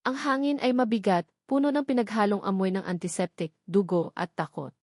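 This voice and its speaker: Woman, dramatic story narrator, mid-range pitch, dry studio sound, scripted delivery. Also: fairly quick, a little mellow, no room sound. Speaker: narrator of audio love stories.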